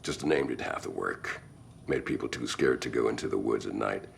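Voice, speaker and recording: An actor playing a self-assured, menacing small-town cult leader with a gravelly voice and a sly, folksy manner in a video game. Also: male voice, moderate pace, full character voice. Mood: smug, sly. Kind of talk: video game cutscene acting. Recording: light background noise with low rumble.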